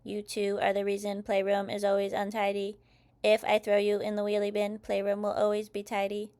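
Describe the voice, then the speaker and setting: A woman's voice: mid-range voice, monotone, a little fast, darkish tone, natural voice. A woman voicing a dad playing a dutiful, overdramatic male robot in a storybook, with robotic, declamatory delivery. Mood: stern, single-minded.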